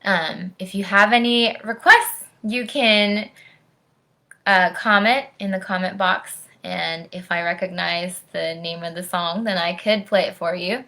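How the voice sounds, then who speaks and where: An American woman reading aloud and chatting to young children in an expressive storytime manner. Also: fairly low pitch, unhurried. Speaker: orchestral musician, guest storytime reader.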